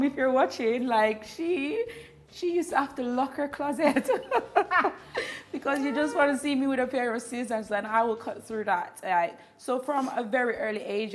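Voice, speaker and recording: A woman's voice: on the high side, normal speed. Bubbly woman, laughs often. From Jamaica. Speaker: guest, vintage fashion blogger. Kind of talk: casual morning TV interview. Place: TV studio.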